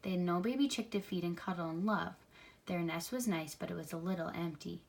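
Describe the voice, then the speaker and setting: A woman reads a picture book aloud for young children, expressively, pausing to add simple comments of her own. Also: fairly low pitch, vocal fry. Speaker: storybook reader.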